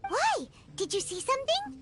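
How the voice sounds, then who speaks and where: A woman voicing a tiny, excitable, childlike fairy companion in an anime game, with a squeaky voice. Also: moderate pace, full character voice. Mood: puzzled. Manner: excitable, refers to self in third person. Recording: loud music behind the voice.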